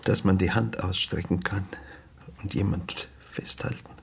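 An actor playing a grieving, apologetic father in a radio drama, speaking haltingly and hushed, often slipping into whispers. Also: sits low, steady tone, gravelly, slightly rough, heavily acted. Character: grieving, apologetic father of a dead teenage daughter. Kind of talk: naturalistic radio drama acting.

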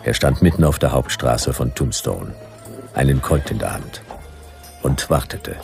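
An actor playing a hard-boiled, wary detective hero in a radio drama, defiant, speaking in terse, clipped phrases. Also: male voice, a bass voice, animated, unhurried, gravelly, darkish tone, soft background music, heavily acted. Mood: grim narration. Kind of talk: radio drama acting with noir narration.

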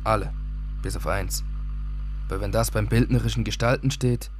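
A man's voice: fairly low pitch, moderate pace, background noise. A man acting a first-person radio drama monologue, telling it in a plain, conversational way. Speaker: actor narrating as a son.

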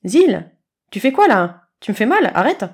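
A woman voicing a boastful, excitable young male kitten in an acted storybook. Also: fairly high pitch, measured pace, slightly rough, exaggerated voice. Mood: indignant. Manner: arrogant, mangles long words.

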